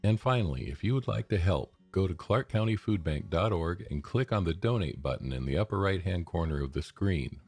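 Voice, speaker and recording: Very deep, gravelly American male announcer. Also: expressive, a little fast, few pauses, darkish tone, faint music behind. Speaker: public service announcer. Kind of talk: scripted radio announcement.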